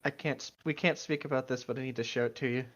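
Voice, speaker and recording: A man's voice: mid-range voice, fairly even intonation, fast, fairly bright tone. A man co-hosting a casual chat, teasing and joking wryly with some swearing. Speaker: co-host reading and mocking fan fiction. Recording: slightly dull, lo-fi mic.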